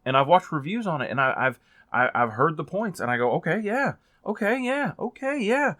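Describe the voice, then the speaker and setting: A man talking casually as a book reviewer in a rambling, informal way that gets animated on points of emphasis. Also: on the high side, brisk, no room sound, studio quality. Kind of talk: casual chatty book vlog.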